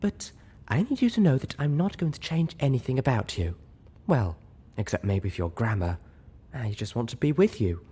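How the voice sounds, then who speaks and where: A man voicing a gentle, refined female pony who speaks softly, properly and tactfully in a storybook reading. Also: mid-range voice, sing-song, moderate pace, breathy edge, pitched above the performer's own voice. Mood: tender. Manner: soft-spoken, purring, proper. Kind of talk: audiobook character voice.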